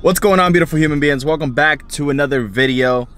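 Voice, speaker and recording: A man's voice: fairly high pitch, fast talker, over loud music. A young man from Puerto Rico, a powerlifter, chatting to camera in a loose, rambling, upbeat way while driving his car. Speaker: vlogger and powerlifter. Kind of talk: casual vlog chat to camera.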